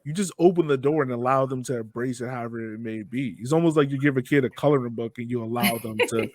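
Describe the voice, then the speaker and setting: A man's voice: medium pitch, fast talker, a little mellow, no room sound. A man hosting a podcast interview, talking in a casual, conversational style. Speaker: podcast host.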